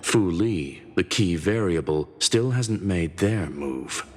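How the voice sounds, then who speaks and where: A man's voice: low voice, animated, slow, darkish tone, exaggerated voice. An actor playing a cold, judgmental male interrogator in a video game, terse and grave. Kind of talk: dramatic video game voice acting. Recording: long reverb on the voice.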